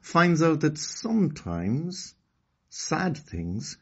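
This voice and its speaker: A man narrating a children's story as an expressive storyteller. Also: fairly high pitch, very slow, husky, muffled recording.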